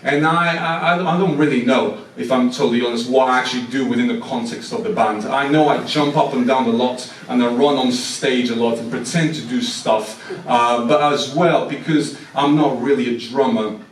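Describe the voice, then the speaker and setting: A man's voice: mid-range voice, a little fast, slight background noise. A young man with a Portuguese accent, giving a chatty, self-deprecating talk to a church congregation, with frequent restarts. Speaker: mission team speaker. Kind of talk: informal talk at a church service. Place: church hall. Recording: echoey room, mic far off.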